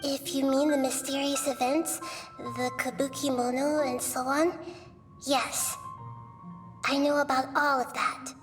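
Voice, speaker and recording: A woman voicing a small, wise girlish goddess in a video game, speaking in a gentle, measured, articulate way. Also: high voice, flat delivery, recorded from a distance, over music. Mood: thoughtful. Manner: measured, articulate, kindly explaining.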